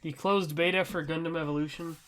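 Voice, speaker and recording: A man's voice: on the high side, steady tone, fairly quick, a bit bright. A man co-hosting a podcast, chatting casually and informally about games with enthusiasm. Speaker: podcast co-host. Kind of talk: casual gaming chat.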